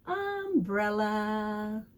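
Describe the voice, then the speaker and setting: A woman's voice: mid-range voice, very animated, very slow. A woman teacher leading a storytime and vocabulary lesson for young children, speaking expressively and encouragingly, with questions to her listeners and key words stretched out. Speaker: preschool teacher reading a picture book aloud.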